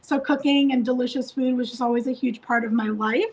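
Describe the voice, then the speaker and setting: A woman's voice: a little high, steady tone, brisk. A woman from Thailand, a cooking instructor chatting in an easygoing way on a live video call. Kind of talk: casual live video chat.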